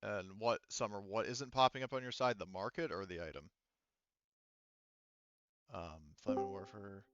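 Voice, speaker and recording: A man speaking casually as game master of an online tabletop game, explaining things in a halting, rambling way. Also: on the low side, a bit bright, dry recording, highs cut off.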